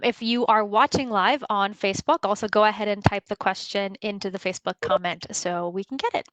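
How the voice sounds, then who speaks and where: A woman's voice: medium pitch, fairly bright tone, no echo, low-quality recording. A woman in her thirties from Costa Rica, giving a friendly webinar presentation with frequent fillers.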